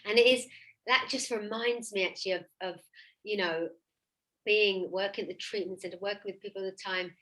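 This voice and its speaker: A woman's voice: medium pitch, fairly even intonation, a little fast, pushed and bright, low-quality recording. A woman hosting a casual conversation, talking informally from her own working experience. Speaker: host, therapeutic coach.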